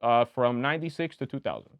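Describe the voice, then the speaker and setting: Romanian-accented man with a lively voice. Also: medium pitch, fast talker, smooth, no echo. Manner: animated, opinionated, many restarts. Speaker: political candidate, guest. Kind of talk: casual interview talk. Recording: dull lo-fi sound.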